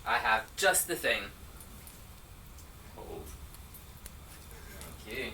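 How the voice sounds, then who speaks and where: An actor playing the mischievous trickster god Loki in a live show, slow and teasing. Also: male voice, medium pitch, expressive, very noisy. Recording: distant, echoey space with ambience.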